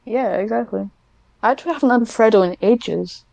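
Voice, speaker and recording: A young British woman with a fairly high voice, recorded close and dry. She chats casually as a podcast guest, rambling through her stories in a giggly manner. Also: a little fast, creaky, a little mellow, dry recording, lo-fi sound. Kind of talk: casual chat.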